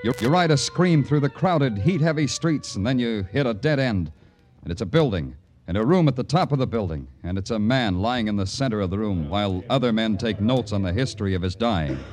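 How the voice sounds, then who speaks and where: An actor playing a world-weary police detective in radio noir style, dry, sardonic and brooding. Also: male voice, on the low side, animated, brisk, a little mellow, close to an everyday voice. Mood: brooding, dramatic. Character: hard-boiled, world-weary male night-shift police detective. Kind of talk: 1950s radio noir narration and dialogue.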